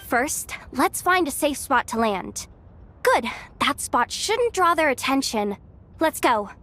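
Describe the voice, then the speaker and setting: A woman playing a young, anxious, chatty tech tinkerer in an anime game, expressive and nervously self-deprecating. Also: high-pitched, slow, slight background noise, full character voice. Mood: reassuring. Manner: nervous, expressive muttering to herself.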